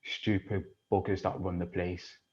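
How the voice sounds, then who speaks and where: An actor playing a rough, foul-mouthed lad who mocks and threatens, in an audio drama with a slightly dull sound. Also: male voice, sits low, level tone, unhurried, a little mellow. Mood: sneering. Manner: swearing, menacing, mocking. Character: rough working-class British boy, aggressive, crude, defensive. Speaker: actor in a stage play.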